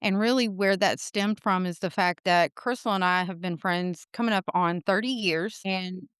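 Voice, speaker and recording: A woman's voice: lowish voice, moderate pace, fairly bright tone, clean tone, no echo, clean studio sound. A woman in her forties with a Southern American accent, a podcast guest chatting casually and laughing easily. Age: 40s. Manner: laughs easily, talks in loose run-on sentences. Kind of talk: casual conversation.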